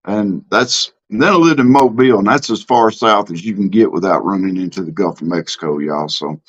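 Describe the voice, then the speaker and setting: A man's voice: lowish voice, a little fast, husky, dull audio. A middle-aged man from Mississippi with a Southern accent, chatting casually on his live stream and answering comments in a plainspoken, drawling way with many ums and restarts. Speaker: livestream host.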